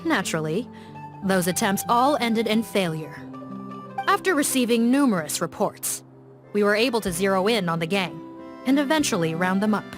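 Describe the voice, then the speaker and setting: Woman playing a calm, firm police officer. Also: mid-range voice, normal speed, natural voice. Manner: calm, matter-of-fact reporting. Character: composed adult female police officer. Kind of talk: video game dub dialogue. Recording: game cutscene with music beneath. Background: music.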